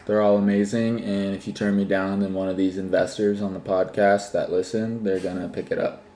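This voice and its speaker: A man guesting on a casual podcast chat, laid-back and joking in a low-key way. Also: level tone, a little fast, warm tone. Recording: echoey room, faint background hum.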